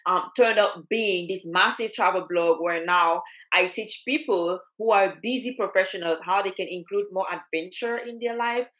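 Animated woman from Cameroon on a video call. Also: mid-range voice, moderate pace, poor audio. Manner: animated, laughs often, many fillers. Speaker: travel blogger and doctor, guest. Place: home room, indoors. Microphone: headset on video call.

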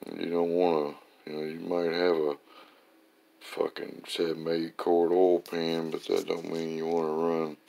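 A man's voice: sits low, creaky, darkish tone. A man giving a casual hands-on garage walkthrough as an amateur engine builder, plain-spoken and folksy with plenty of swearing. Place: indoor garage or workshop with concrete floor.

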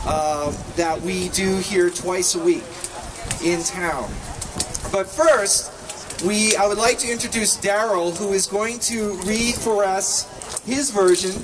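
A middle-aged man speaks as an informal announcer at a public gathering. His voice is high for a man. The recording is distant and noisy, made in the open air. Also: slow pace. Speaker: announcer introducing a performer at a rally. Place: outdoor gathering in a park. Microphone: microphone on a stand.

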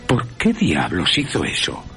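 Man doing a baffled, sceptical young man, animated. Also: sits low, unhurried, gravelly, faint music behind, heavily acted. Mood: alarmed, indignant. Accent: Castilian Spanish. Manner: questioning, incredulous.

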